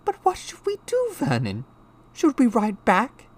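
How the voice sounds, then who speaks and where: Audiobook aunt: middle-aged, high and shrill, quivering, fretful, animated pitch. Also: male voice, unhurried, full character voice. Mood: fearful. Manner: breathless, anxious exclaiming. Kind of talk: audiobook character voice.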